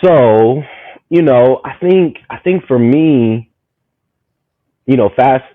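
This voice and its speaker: A man in his thirties from Maryland, a guest telling his story in a lively, rambling way, full of "you know what I'm saying". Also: mid-range voice, takes it slowly, dry recording. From Maryland, USA. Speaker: guest, community market founder. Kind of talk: casual storytelling conversation. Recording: tinny, phone-like, dry.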